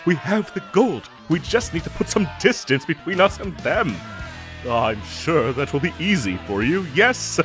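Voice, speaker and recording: A man's voice: on the high side, sing-song, a little slow, over music. A man playing a gallant, theatrical knight with a playful streak and formal, archaic phrasing in a fantasy audio roleplay. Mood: urgent, excited.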